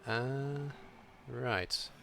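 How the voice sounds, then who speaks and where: A young British man from Wales with a slight European tilt to his accent, chatting casually while he streams a game, reading chat and thinking out loud. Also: medium pitch, drawn-out words, vocal fry, a little hiss or hum. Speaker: streamer playing a video game. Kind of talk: casual live-stream commentary.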